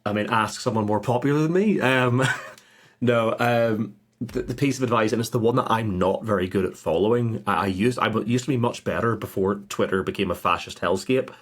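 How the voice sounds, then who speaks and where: Low-voiced man chatting casually as a guest. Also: a little fast. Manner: self-deprecating, informal, chuckles through remarks. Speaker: podcast guest, audio drama creator. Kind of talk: casual chat.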